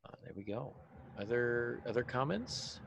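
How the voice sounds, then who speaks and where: A man's voice: medium pitch, lively intonation, a little slow, vocal fry, a little hiss or hum. A man hosting a podcast panel in an informal, casual discussion style. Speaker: podcast host.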